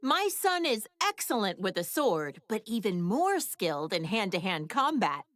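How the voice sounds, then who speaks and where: A woman's voice: mid-range voice, very animated, measured pace, fairly bright tone, no echo, studio quality. A woman voicing a proud, sassy adult inventor and mother in an English anime game, with a breezy manner.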